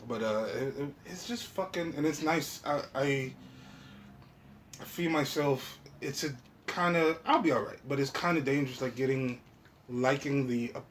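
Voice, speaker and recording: Male podcast host, medium pitch, informal and foul-mouthed chat, audible ambient noise. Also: normal speed. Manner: profane, slangy, informal talk. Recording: clear background noise.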